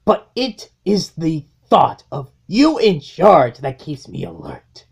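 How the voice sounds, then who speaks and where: A woman playing a cold, contemptuous robot warlord in a comic dub, speaking with slow, grandiose menace. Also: low voice, huge pitch swings, vocal fry, breathy edge, pitched below the performer's own voice, full character voice. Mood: menacing, controlled. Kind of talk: comic dub dramatic acting.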